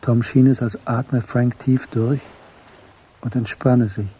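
A man giving scripted literary narration for a radio drama, measured and matter-of-fact, with a husky voice. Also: mid-range voice, fairly even intonation. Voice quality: dark, very husky.

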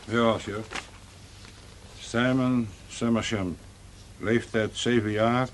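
An actor playing a polite, matter-of-fact junior police detective in a radio play. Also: male voice, medium pitch, fairly even intonation, takes it slowly, lightly acted. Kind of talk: Dutch radio play acting. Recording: noisy old radio play recording.

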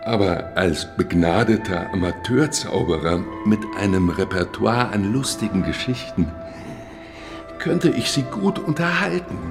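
A frail, asthmatic suitor in a radio drama, gravelly and slow. He is a hopeful, self-deprecating amateur showman. Music plays clearly behind his low voice. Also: expressive, full character voice. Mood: hopeful, confessional. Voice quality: gravelly, creaky.

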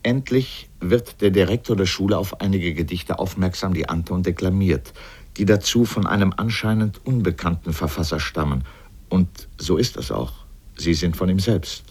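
Man, very low register with a husky grain, formal and deliberate scripted radio narration. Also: darkish tone. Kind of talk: scripted documentary-like literary narration.

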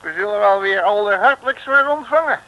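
An actor playing a cheeky, sardonic working-class detective in an old radio comedy serial. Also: male voice, sits high, fast, sharp tone, lo-fi sound. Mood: wry. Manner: grumbling, sardonic, colloquial. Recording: noisy old radio recording.

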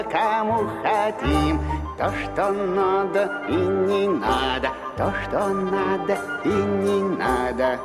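An actor playing a flattering, cunning travelling merchant in an audio fairy tale, with an unctuous, theatrical manner. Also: male voice, high-pitched. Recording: long reverb, music behind.

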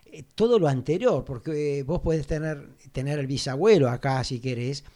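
Un hombre con acento argentino, invitado en una charla radial, que cuenta anécdotas entre risas y muchas vacilaciones. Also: male voice, on the high side, normal speed, mellow. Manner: rambling anecdotes, frequent laughs and hesitations. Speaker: guest. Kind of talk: casual radio conversation.

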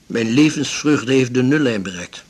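An actor playing an elderly, blunt, resolute widowed seaman in a radio drama, speaking in a measured, formal, philosophical way. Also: medium pitch, lively intonation, darkish tone, slightly rough. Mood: resolute, grave. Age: 70s or older. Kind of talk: 1980s Dutch radio drama acting.

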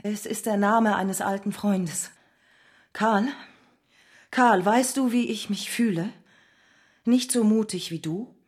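A woman's voice: mid-range voice, takes it slowly, pauses often, breathy edge. An actress playing a tender, wry woman revolutionary in a German radio drama, speaking lyrically and intimately. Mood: tender, confiding. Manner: intimate, lyrical, often sinking to a hush. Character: visionary woman revolutionary, tender, wry, weary yet rapturous.

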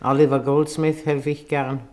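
An actress playing a cheeky, down-at-heel tavern singer with a brash, teasing manner in a 1950s German radio drama. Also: female voice, low voice, level tone, moderate pace, a little mellow, lightly acted. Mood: wry, coy.

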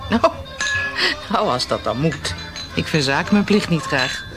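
A woman's voice: low voice, animated, a little slow, music in the background. An actress playing a composed, dryly witty policewoman in a naturalistic radio drama, asking probing questions in an understated way. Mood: relaxed, dry.

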